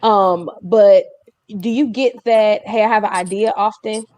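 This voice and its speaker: A woman's voice: medium pitch, fairly even intonation, a little slow, a little mellow, no echo. A woman, hosting and asking questions in a casual, conversational interview. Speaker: host interviewer.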